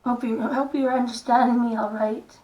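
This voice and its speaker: A middle-aged woman with a croaky voice, gently talking through a makeup tutorial in a soft, unhurried way. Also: a little high, fairly even intonation, lo-fi sound. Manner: soft-spoken, unhurried, stops and restarts gently. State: hoarse, croaky voice. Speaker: makeup vlogger. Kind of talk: casual makeup tutorial talk.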